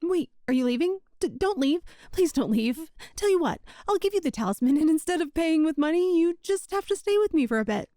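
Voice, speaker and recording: A woman's voice: very high-pitched, expressive, brisk, hardly any pauses, dark tone, no room sound, clean studio sound. A woman playing a sweet, possessive young witch shopkeeper in an ASMR roleplay, with a coy, doting, teasing manner. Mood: pleading. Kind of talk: ASMR romantic roleplay audio.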